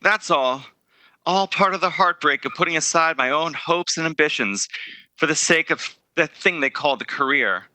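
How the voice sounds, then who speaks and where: A man playing an earnest, guilt-ridden young man in a 1930s-style radio drama, speaking haltingly and hesitantly. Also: a little high, pushed and bright, no echo. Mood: pained, pleading.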